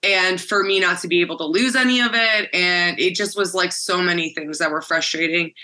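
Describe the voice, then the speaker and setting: A woman in her forties with a deep, raspy voice that tires easily, telling her story candidly in a casual interview with frequent fillers. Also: brisk, sharp tone. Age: 40s. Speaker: guest, former butcher shop owner.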